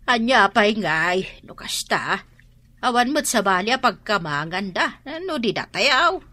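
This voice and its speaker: A woman's voice: medium pitch, big pitch swings, unhurried, bright, forceful tone, heavily acted. A woman playing a plain-spoken, feisty middle-aged country wife in an Ilocano radio drama, with an Ilocano Filipino accent. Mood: reproachful.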